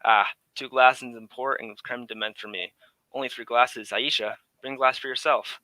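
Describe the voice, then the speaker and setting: A man's voice: medium pitch, steady tone, normal speed, bright, forceful tone, dry recording, close to an everyday voice, poor audio. A man playing a breezy, cynical English aristocrat in exile, in a theatrical radio drama manner. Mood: genteel, insistent. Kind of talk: 1940s radio drama acting.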